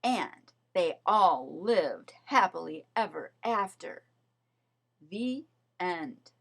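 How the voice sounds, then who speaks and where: A woman reading a children's story aloud as narrator, in an expressive, measured storytelling manner. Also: on the low side, gravelly, slightly rough. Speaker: storybook reader.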